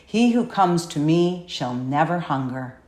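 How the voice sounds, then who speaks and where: A woman narrator reading scripture from a script in a measured, solemn way. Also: low voice, expressive, darkish tone, reverberant. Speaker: audiobook narrator.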